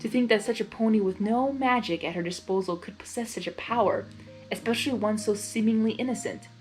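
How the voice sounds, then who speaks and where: A woman's voice: medium pitch, a little fast, a little mellow, soft background music, lightly acted, poor audio. Woman as an impatient, lovestruck male chaos creature. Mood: admiring.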